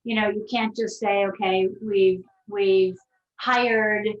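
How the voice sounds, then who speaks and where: A woman's voice: medium pitch, fairly even intonation, slow pace. A woman moderating a panel conversationally, affirming and summing up what others say. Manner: affirming, summarising others' points. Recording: echoey, dull lo-fi call audio.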